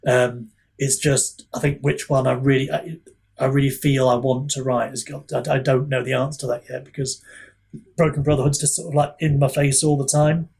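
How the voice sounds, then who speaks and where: A man with a husky voice in casual podcast chat as a guest author, rambling and self-deprecating, often halting and repeating himself. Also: mid-range voice, little pitch movement, a little mellow.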